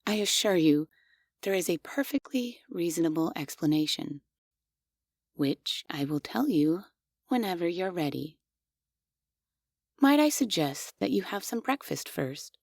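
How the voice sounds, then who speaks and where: A woman voicing a devoted, obsessive female android maid in an audio roleplay, speaking softly and formally with quietly unsettling questions. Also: fairly low pitch, animated, a little slow, long pauses, no room sound, studio quality. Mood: probing. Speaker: voice actress.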